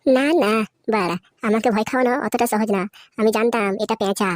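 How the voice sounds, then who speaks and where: A woman's voice: medium pitch, fairly even intonation, a little fast, a little mellow, no echo, clean studio sound, lightly acted. A woman voicing a cocky, foul-mouthed but easily spooked young man in a comedy cartoon, with an Indian Bengali accent. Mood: indignant bluster. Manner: brash, slangy, swearing constantly. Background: music.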